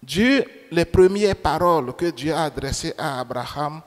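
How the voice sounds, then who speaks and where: A man preaches slowly and deliberately, his voice sitting a little higher than most men's. French is not his first language, and he keeps repeating key phrases and asking the congregation questions. His words ring out with a long reverb in a church. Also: animated. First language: another language than French. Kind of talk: sermon. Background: long hall reverb.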